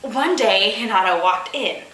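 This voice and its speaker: Woman, bright forceful voice, unhurried pace, comedic story reading, amused exasperated asides, roomy echo. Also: mid-range voice, animated. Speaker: host of a fanfiction reading show. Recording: roomy, echoing room sound.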